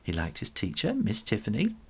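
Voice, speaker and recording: A man reading a children's story aloud in an expressive, animated style with conversational asides. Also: lowish voice, fairly quick, gravelly, husky. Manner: expressive, with chuckles and spoken asides.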